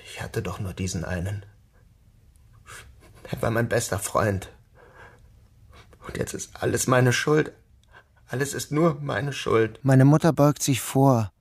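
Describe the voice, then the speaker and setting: An actor playing a troubled grown son who narrates his own story in an introspective, measured literary style. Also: mid-range voice, creaky, dry recording. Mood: grieving, guilty. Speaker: first-person narrator and protagonist. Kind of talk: literary audio drama narration.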